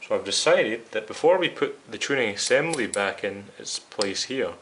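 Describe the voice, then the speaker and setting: Male repair-video host, lowish voice, casual step-by-step explainer, faint noise and hiss behind him. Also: expressive, moderate pace, fairly bright tone. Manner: explanatory, addressing viewers directly. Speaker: host of a radio restoration series. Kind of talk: casual hands-on repair demonstration.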